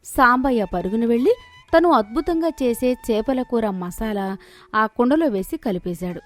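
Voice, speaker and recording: A woman's voice: a little high, animated, moderate pace, soft background music. A woman storyteller narrating a scripted moral story.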